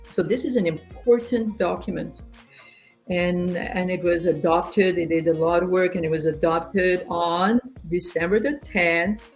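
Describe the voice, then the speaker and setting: A woman's voice: fairly low pitch, a little mellow. A woman from rural Ontario near Quebec, a native French speaker, speaking English as an interview guest and explaining things conversationally, often repeating words. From rural Ontario near Quebec, Canada. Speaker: interview guest, human rights youth organisation director. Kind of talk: conversational personal storytelling in an interview.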